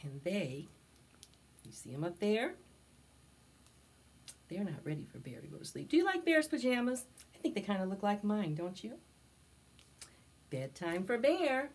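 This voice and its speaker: A woman's voice: mid-range voice, sing-song, a little slow, pauses often, slight background noise. A middle-aged woman reading a picture book aloud to preschoolers, expressively, stretching out words for young listeners. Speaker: library storytime reader. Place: indoors, in front of a decorated backdrop.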